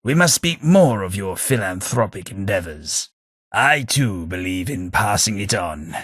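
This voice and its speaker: A slow-talking, upper-class Southern English ghoul gentleman, voiced by a man for a video game, all dry wit and refinement. His voice is husky yet bright, sitting low with a lively, swooping pitch. The close studio recording is completely dry. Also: no echo, heavily acted. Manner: dry, wry, refined delivery. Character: posh, witty male ghoul gentleman companion. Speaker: game companion. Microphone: close studio mic.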